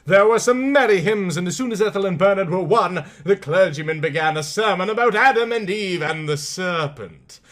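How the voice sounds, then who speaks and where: Male storybook narrator, highish and very bright, dramatic delivery that stretches key words and swells and falls. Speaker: reader of a storybook. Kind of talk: theatrical comic audiobook narration.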